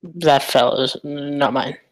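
A man's voice: mid-range voice, steady tone, slow, dry recording, natural voice, poor audio. A man playing a nervous, awkward poker player, hesitant and stammering, in a table read of a film script.

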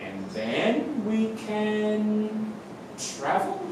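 A man's voice: sits high, huge pitch swings, very slow, heavily acted. An actor playing Adam, a young man teasing and worrying about his mother, in a staged reading on a theatre stage. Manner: wry, teasing, then alarmed and shouting. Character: young man Adam, anxious, joking son. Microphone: far camera, no visible mic. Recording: echoey hall from far off, noisy.

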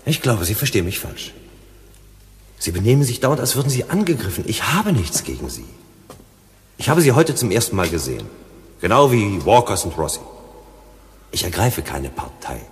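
A male colony negotiator in a science fiction radio drama, persuasive and probing. His low voice moves through wide, lively inflections. It rings in a long, hall-like reverb. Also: normal speed, long pauses. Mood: insistent. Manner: insistent, questioning, soothing. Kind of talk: German radio play acting.

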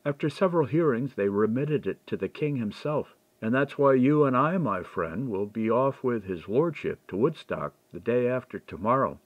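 A shrewd, candid clerk played by a male reader explains things in storybook dialogue. The voice is mid-pitched with lively emphasis, recorded dry and a little dull. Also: normal speed, few pauses, no echo, lightly acted. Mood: explaining. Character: lapsed monk turned clerk, candid, shrewd man. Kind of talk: audiobook character dialogue.

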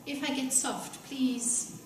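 A middle-aged woman giving an academic talk, soft-spoken and hesitant, with many pauses and fillers. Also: sits high, steady tone. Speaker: guest lecturer. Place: lecture room. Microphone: lectern mic, filmed by far camera. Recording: echoey room from far off, hiss.

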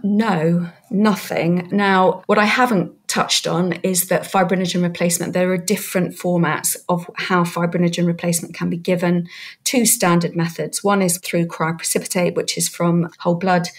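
A British woman gives a measured expert explanation as an interview guest. Her voice sits fairly low. The intonation stays very even, with little rise or fall. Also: husky. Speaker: guest expert, hematologist and researcher.

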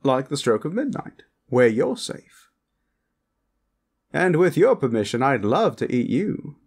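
Guy doing a smug horny vampire protector, flamboyant n suggestive, drags his words out slow, really animated pitch, comedy roleplay audio. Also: breathy edge, exaggerated voice. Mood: flirtatious, reassuring. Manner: theatrical, drawn-out, suggestive, pompous. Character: lecherous, flamboyant male vampire protector, smugly charming. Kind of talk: comedic character roleplay monologue, talking to the listener.

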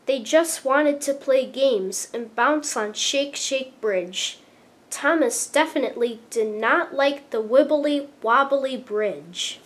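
A woman reading a children's story aloud, with deliberate, expressive emphasis. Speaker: storybook reader. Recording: clear background noise with low rumble.

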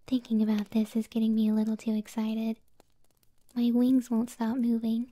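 A woman voicing a possessive, doting young moth-girl girlfriend in an ASMR roleplay, speaking softly, breathily and tenderly, close to the microphone. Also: on the high side, monotone, no room sound. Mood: flustered, affectionate. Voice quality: soft, breathy, whispery. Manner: slow, tender, teasing. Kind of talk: ASMR audio roleplay, intimate soft speech.

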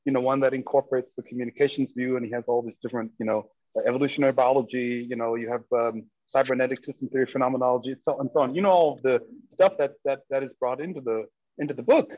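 A man's voice: mid-range voice, fairly even intonation, quick pace, darkish tone, no room sound. A man whose first language is German speaks on a video call, rambling through his point with frequent fillers. His audio is dry and muffled, lacking clarity. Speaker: discussion group member. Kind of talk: casual academic discussion.

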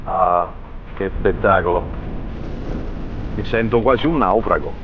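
A man's voice: low voice, measured pace. A man in his thirties, a fishing vlogger, talking in an easygoing way outdoors on a kayak by the sea into an action camera held at arm's length. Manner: relaxed, easygoing commentary. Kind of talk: casual outdoor vlog narration. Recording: dull action-camera audio with wind and water noise.

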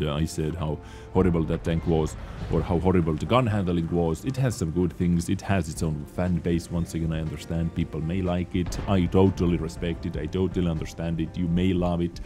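A young man with a low, mellow voice talks over gameplay in chatty, animated English that is not his first language. He keeps addressing his viewers directly as ladies and gentlemen. Soft music plays faintly underneath. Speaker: gaming video host. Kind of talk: gameplay commentary.

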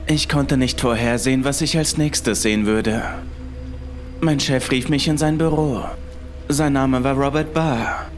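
A man reading a scripted first-person horror story as its narrator. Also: mid-range voice. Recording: music behind the voice. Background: music.

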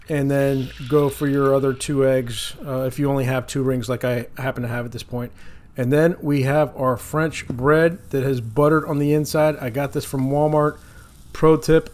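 A man hosting a casual cooking video outdoors by a lake, talking loosely while he cooks, correcting himself and chuckling at small mistakes. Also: fairly high pitch. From Florida. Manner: relaxed, rambling, self-correcting, chuckles at mistakes. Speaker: cooking channel host. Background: sizzling food on a griddle.

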